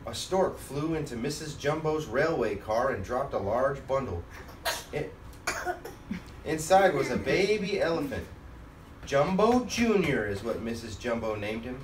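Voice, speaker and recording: A man reading a children's storybook aloud as a father and host, in an expressive manner. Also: mid-range voice, a little slow. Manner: expressive, acts out character lines. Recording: roomy, distant mic, faint background noise.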